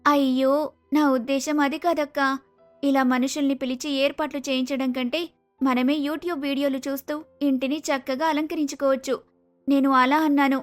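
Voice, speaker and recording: Woman acting an earnest high-voiced college girl. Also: level tone, normal speed, soft background music, close to an everyday voice. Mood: apologetic. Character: earnest, misunderstood younger sister, college student. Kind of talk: acted cartoon moral story.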